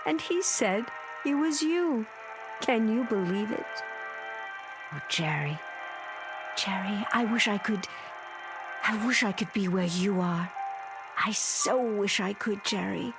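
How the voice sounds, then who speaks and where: An actress playing a wistful former movie star in an old television drama, slow and theatrical in her longing. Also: mid-range voice, animated. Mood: dreamy yearning. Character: wistful, delusional former film actress pining for the past. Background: prominent music.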